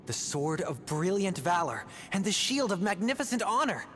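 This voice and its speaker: An earnest young rookie adventurer talks sincerely, keen to prove himself. His voice sits a bit high. Faint game music runs underneath. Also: big pitch swings, normal speed, a bit bright. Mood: pleading.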